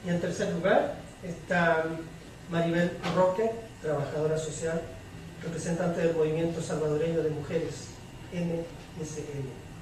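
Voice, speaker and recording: Echoey, high-pitched man moderating a panel. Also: fairly even intonation, unhurried. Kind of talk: moderating a public panel debate. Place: large echoey room at a public event. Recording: echoey hall, distant mic, faint noise.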